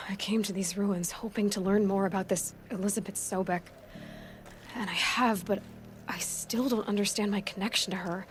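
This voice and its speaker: A woman's voice: mid-range voice, steady tone, normal speed, slight background noise, lightly acted. Female actor for game heroine: young, headstrong huntress, medium register, earnest and challenging delivery, slight background ambience. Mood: frustrated, searching. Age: young adult. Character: young huntress, defiant, determined, searching for answers. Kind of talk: dramatic video game acting.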